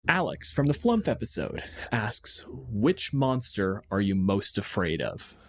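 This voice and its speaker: A man with a fairly low voice hosts a casual podcast. He explains things in detail with wry emphasis and some swearing. The sound is dry and close. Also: sing-song, unhurried, dry recording. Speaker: podcast host.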